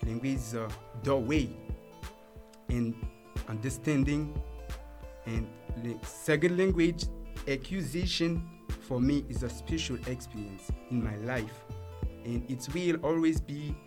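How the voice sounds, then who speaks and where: A young man from Haiti with a Haitian accent, a native Creole speaker, reading out his own story as an English learner in a measured, careful way. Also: medium pitch, music in the background. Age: young adult. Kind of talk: reading a prepared personal story.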